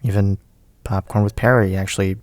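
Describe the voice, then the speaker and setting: An actor playing a friendly, teasing friend with a hesitant, chatty manner in a naturalistic audio drama. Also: male voice, fairly low pitch, fairly even intonation, a little fast, no echo, close to an everyday voice. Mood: friendly, nervous, teasing. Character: friendly, teasing male friend leaving a recorded message.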